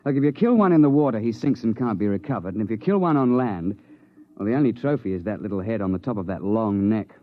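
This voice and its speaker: An actor playing a stern, dryly sardonic British big-game guide in a 1950s radio drama, with clipped gentlemanly speech. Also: male voice, fairly low pitch, expressive, quick pace, natural voice. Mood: dry, assured. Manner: clipped, old-fashioned gentlemanly, dry. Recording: dull vintage 1950s radio recording, music behind.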